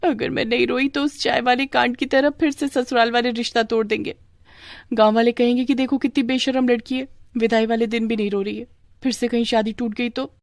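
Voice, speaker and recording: Cartoon bratty young wife, played by a woman, high, whiny, dramatic, dry sound. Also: flat delivery, a little fast, no echo, natural voice. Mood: defensive whining. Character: childish, lazy, melodramatic, stubborn young married woman.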